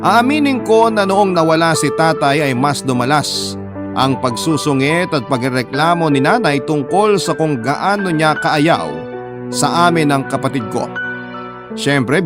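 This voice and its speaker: An actor with a Filipino accent playing a devoted elder sibling, narrating a sad life story in an earnest, confiding way. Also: male voice, fairly high pitch, animated, unhurried, over music. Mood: earnest, wistful. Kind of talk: first-person dramatic radio narration.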